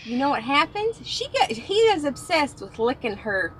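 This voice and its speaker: A woman's voice: high voice, very animated, normal speed, a little hiss or hum. A woman chatting casually and teasingly as a co-driver in a truck cab. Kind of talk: casual chat.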